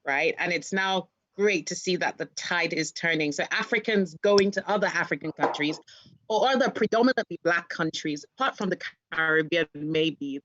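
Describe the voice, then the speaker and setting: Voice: mid-range voice, a bit bright, dry recording. Chatty host, teasing, dull lo-fi call sound. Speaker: panel host. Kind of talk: casual panel hosting.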